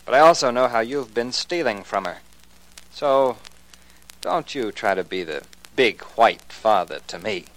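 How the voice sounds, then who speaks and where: A jilted young clerk, earnest and bitter. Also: male voice, mid-range voice, lively intonation, measured pace, fairly bright tone, poor audio. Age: young adult. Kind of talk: 1940s radio acting.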